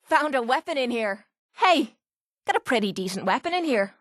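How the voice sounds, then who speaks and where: A young female wasteland fighter from a video game, with an Irish accent and a fairly high voice. She is blunt and salty, with a wry, sarcastic edge. The recording is close and dry. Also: very animated, moderate pace, dry recording. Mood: pleased. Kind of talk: video game character voice lines.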